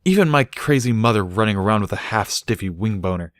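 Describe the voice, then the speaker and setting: A man's voice: fairly low pitch, expressive, fairly quick, no echo. A man voicing an indignant, easily flustered teenage female pony in a storybook reading, with exasperated delivery. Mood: defiant. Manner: loud, exasperated outbursts.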